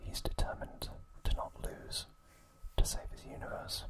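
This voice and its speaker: A man hosting an ASMR recap, speaking in a soft, breathy, unvoiced whisper with a gentle delivery. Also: measured pace. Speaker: ASMR host.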